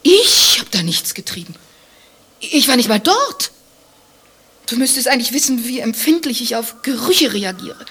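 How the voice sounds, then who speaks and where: Husky, haughty wife. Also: female voice, a little high, sing-song, normal speed, fairly bright tone, exaggerated voice. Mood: wry, evasive. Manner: mocking, disdainful, theatrically indignant. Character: haughty, sardonic wife accused of adultery. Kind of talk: German radio play dialogue, satirical.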